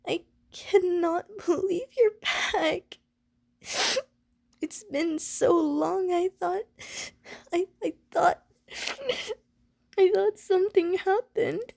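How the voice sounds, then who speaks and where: A woman playing a gentle, anxious, lonely Medusa who is wary of humans, speaking in careful, formal wording for an ASMR audio roleplay. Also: very high voice, gravelly, no room sound, studio quality, heavily acted. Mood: tearful joy. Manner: formal, careful, stilted wording, pleading and apologetic.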